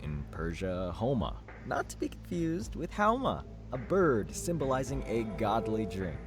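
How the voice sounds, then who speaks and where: A man's voice: on the low side, huge pitch swings, a little slow. A chatty male art buff with hugely varied, swooping intonation. He is good-natured and nerdy, explaining things like a lecturer with a teasing edge. It is video game dialogue with music behind. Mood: scholarly, musing.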